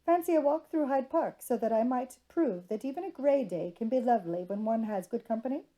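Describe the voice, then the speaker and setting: A woman voicing a cheerful, gallant young gentleman suitor with genteel, effusive manners in a storybook reading. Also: a little high, lively intonation, a little fast, highs cut off, lightly acted. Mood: hopeful. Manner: genteel, effusive, formal period speech.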